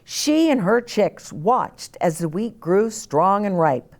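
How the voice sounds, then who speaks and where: A woman reading a children's story aloud as an expressive storyteller. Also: mid-range voice, takes it slowly.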